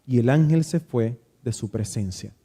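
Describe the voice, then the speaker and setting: A man with a Dominican accent, reading scripture aloud and then telling a reflective story about growing up, pausing and restarting now and then. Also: mid-range voice, mellow, slightly rough. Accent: Dominican Spanish. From Dominican Republic. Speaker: preacher. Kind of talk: Bible reading and devotional talk.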